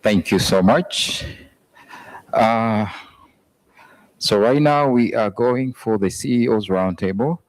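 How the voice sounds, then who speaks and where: A man's voice: lowish voice, slow, long pauses, rough recording. A man working as master of ceremonies at a trade expo, formal and hesitant as he calls up the sponsors. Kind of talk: emcee announcements. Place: event hall.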